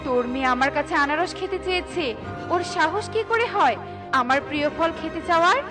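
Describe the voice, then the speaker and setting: A woman's voice: extremely high pitch, expressive, brisk, over music. A woman voicing a haughty rich female bird in a cartoon, scolding in an imperious, harsh manner. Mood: angry, scornful. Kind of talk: cartoon voice acting.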